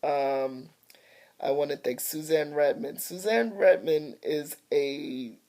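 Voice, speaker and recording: Middle-aged woman with a low, warm voice and some chest congestion; casual, grateful vlog update. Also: slow. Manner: chatty, grateful, slightly congested. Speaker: artist and vlogger. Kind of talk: casual home video update. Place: at home by a window. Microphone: phone or webcam camera mic.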